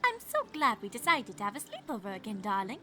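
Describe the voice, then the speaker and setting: A woman's voice: fairly high pitch, wildly swooping pitch, fast talker, noisy background. A woman voicing a refined, dramatic young female unicorn pony in a fan fiction reading, with a genteel, theatrical manner. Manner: theatrical, genteel, calls others darling. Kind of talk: fan fiction character voice acting.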